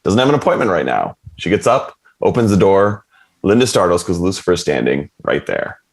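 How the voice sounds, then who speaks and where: Male narrator, slightly low voice, reads screenplay action lines informally. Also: sing-song, fairly quick, vocal fry. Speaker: narrator reading stage directions.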